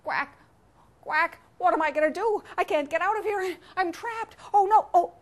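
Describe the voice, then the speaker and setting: A woman's voice: on the high side, lively intonation, moderate pace, fairly bright tone, pitched above the performer's own voice. A woman voicing a plucky storybook duck who quacks insistently, chants in repeats and panics easily. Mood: panicked pleading. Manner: exclamatory, repetitive quacking and chanting.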